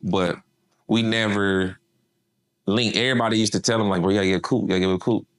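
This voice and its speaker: A man's voice: on the low side, steady tone, fast talker. A man from Memphis, an artist manager chatting casually in an interview, laid-back and slangy with lots of fillers.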